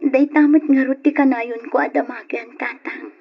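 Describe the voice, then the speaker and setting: High-voiced young wife in old radio drama. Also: female voice, fairly even intonation, moderate pace. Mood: worried, subdued. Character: affectionate, worried young wife. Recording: dull, noisy old radio recording.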